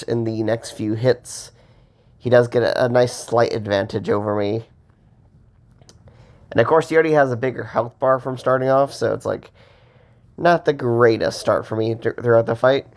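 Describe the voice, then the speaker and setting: A man with a cold casually commenting on gameplay as host, rambling with fillers and pausing for breath. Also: mid-range voice. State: has a cold.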